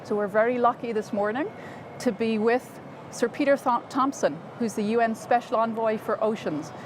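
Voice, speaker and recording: A woman's voice: fairly high pitch, moderate pace. A woman hosting a livestreamed interview series, introducing her guest and asking questions in an upbeat, fluent presenter manner. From Ireland. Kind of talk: scripted host introduction and questions. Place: outdoors in a city. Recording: outdoor city noise behind.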